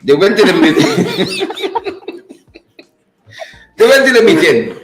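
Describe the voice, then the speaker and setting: A man's voice: sits high, big pitch swings, slow pace, echoey room, heavy background noise. A man co-hosting a casual current-affairs chat, joking and laughing as he slips into dialect. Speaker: co-host.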